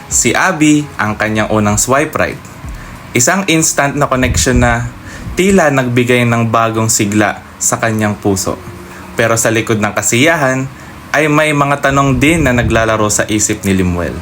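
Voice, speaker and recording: A man's voice: medium pitch, normal speed, a bit bright, faint music behind. A man narrating a scripted radio drama as its host, speaking to listeners directly in a teasing way.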